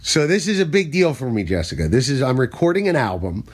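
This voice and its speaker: A man's voice: medium pitch, quick pace, husky. A man who is a stand-up comedian, telling self-deprecating stories in casual podcast chat. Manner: storytelling, self-deprecating, animated when telling bits.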